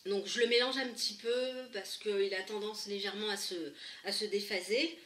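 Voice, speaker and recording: A woman with a stuffy head cold talks casually through her makeup routine, pausing often with fillers and restarts. Her voice is bright and clear, caught by a camera set a bit away in an echoey room with faint room noise. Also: medium pitch, fairly even intonation, distant mic. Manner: hesitant, many fillers and restarts. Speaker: beauty vlogger.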